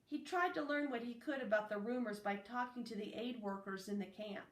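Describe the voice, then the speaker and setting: A woman reading a children's novel aloud as narration, in a deliberate, phrase-by-phrase way. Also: a little high. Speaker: audiobook reader. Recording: roomy, echoey, distant microphone.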